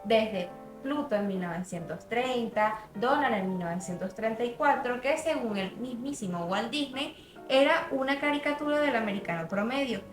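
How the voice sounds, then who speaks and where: Young female narrator, high voice, scripted documentary read, roomy sound over music. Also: moderate pace. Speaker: student narrator.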